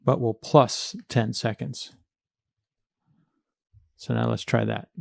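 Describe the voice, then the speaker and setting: Guy doing a coding screencast, gravelly voice, thinking out loud step by step, very dry recording. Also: mid-range voice, fairly even intonation, slow pace, dry recording. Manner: informal, thinking aloud while working. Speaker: programming tutorial instructor.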